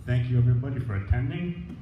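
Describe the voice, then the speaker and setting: A man's voice: medium pitch, expressive, quick pace. A man giving an informal, plainspoken acceptance speech as a business owner. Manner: plainspoken, colloquial. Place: echoey indoor room at a presentation event. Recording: echoey, dull, noisy room recording.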